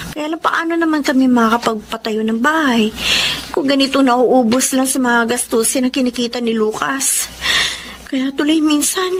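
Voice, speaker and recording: A woman's voice: sits high, slight background noise. A woman playing an anxious young mother. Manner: respectful, anxious. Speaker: young mother, Lucas's wife. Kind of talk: audio drama acting.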